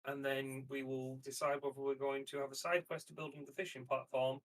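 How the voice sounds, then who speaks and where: A man's voice: mid-range voice, little pitch movement, fairly quick, rough recording. A man talking casually and bluntly as a player in a tabletop roleplaying game.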